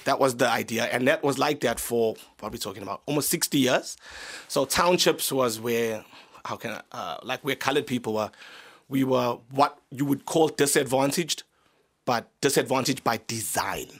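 Gravelly South African comedian. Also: male voice, medium pitch, moderate pace. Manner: open, animated storytelling, laughs often. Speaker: comedian guest. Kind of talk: casual radio interview. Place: radio studio.

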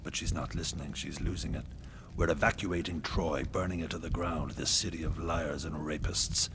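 A brutish warrior king in a radio drama, his voice sitting very low with a gravelly grind. He talks coarsely and boastfully, cold and self-assured, with a faint hiss beneath. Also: fairly even intonation, moderate pace. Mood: callous. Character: brutal, arrogant warrior king, middle-aged man. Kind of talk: first-person radio drama narration.

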